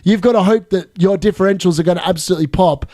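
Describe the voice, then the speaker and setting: A man in his late thirties hosting a live fantasy football stream, chatty and excitable, laughing often as he answers viewer questions. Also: sits high, very fast, no room sound, studio quality. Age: 30s.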